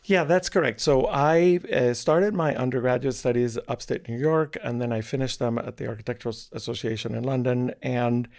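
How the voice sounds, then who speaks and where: A middle-aged man from Spain speaks with a gravelly, fry-edged voice at an ordinary pitch. He talks thoughtfully and haltingly, restarting phrases as he searches for words, in a relaxed interview. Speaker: architecture professor, guest. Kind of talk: casual interview conversation.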